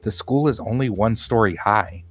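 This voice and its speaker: A man reading aloud, voicing a calm, mild male art teacher telling a story in a children's storybook. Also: on the low side, moderate pace, slightly rough, faint music behind.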